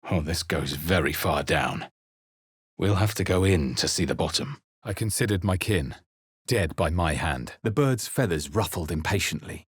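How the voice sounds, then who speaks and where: A man playing a grave, introspective adventurer hero in a fantasy video game, speaking in a measured, dramatic way. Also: sits low, expressive, husky, no echo, clean studio sound, exaggerated voice. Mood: curious, wondering. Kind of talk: scripted video game narration and character lines.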